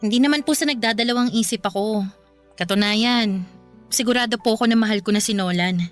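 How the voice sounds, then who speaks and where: A woman's voice: a little high, a little fast, natural voice. Actress as earnest young woman over faint music. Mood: sincere, troubled. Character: earnest, loyal young woman in love, gently defensive. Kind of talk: Filipino radio drama acting.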